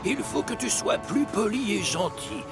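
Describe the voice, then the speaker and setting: A man voicing a blustery, excitable talking locomotive in a children's cartoon, emphatic, theatrical and gravelly. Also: high voice, a little slow. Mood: bossy. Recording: loud music over the voice.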